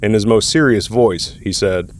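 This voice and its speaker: A man's voice: lowish voice, normal speed, slight background noise. A man reading scripted narration for an audio drama. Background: background music.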